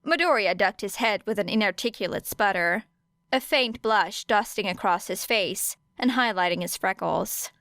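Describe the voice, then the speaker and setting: Clear-voiced woman narrating a story. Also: medium pitch, normal speed, a bit bright, dry recording, studio quality. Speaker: audiobook narrator of fan fiction.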